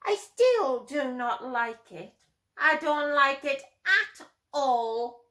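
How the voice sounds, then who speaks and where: A small, timid owlet from a children's storybook, voiced by a woman. The voice is high and childlike, with a plaintive, emphatic way of talking. Each phrase comes out slowly. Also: very animated, bright, forceful tone, exaggerated voice. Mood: stubborn, plaintive. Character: timid young boy owlet afraid of the dark.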